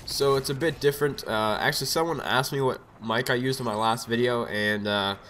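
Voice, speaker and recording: A young man with a cold and a slightly raspy voice rambles through casual gameplay commentary, heavy on fillers and asides. He sits at a home setup speaking into a desk mic, with faint background noise. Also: medium pitch, brisk, fairly bright tone. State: has a cold, a bit raspy. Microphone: USB desk mic.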